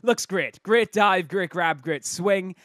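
A man with a slightly croaky voice hosting casual, jokey video game commentary in a self-interrupting way. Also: high voice, takes it slowly, fairly bright tone, no echo, studio quality. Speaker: host of a game replay showcase.

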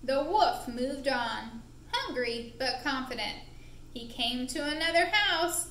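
A woman narrating a puppet show for young children in an expressive, deliberate, playful way, recorded in an indoor room. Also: high-pitched. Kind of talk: animated storytelling for young children. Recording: roomy echo with faint background noise.

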